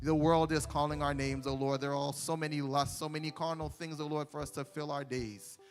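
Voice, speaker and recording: A man's voice: a little high, level tone, brisk. A man leading a fervent congregational prayer in a church sanctuary, punctuating each phrase with "oh God" and "oh Lord". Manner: repeats "oh God" between phrases, rising and falling intensity. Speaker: worship leader praying.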